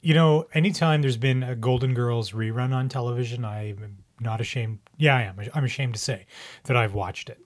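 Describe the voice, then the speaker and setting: A man's voice: medium pitch, moderate pace. A man hosting a podcast in an informal, joking way, chatting and asking questions. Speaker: podcast host. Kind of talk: casual chat, asking questions.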